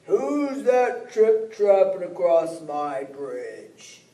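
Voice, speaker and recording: A storyteller putting on a nasty old troll from a fairy tale, slow and loud and menacing, echoing a bit around a big room. the mic sits far off. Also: mid-range voice, very animated. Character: mean nasty old troll under a bridge.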